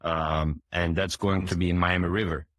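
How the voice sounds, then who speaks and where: A man in his forties who grew up in Turkey, a boat dealership owner answering interview questions in a hesitant way, with many fillers and restarts and frequent throat clearing. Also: deep voice, little pitch movement, normal speed, a bit bright, dry recording, studio quality.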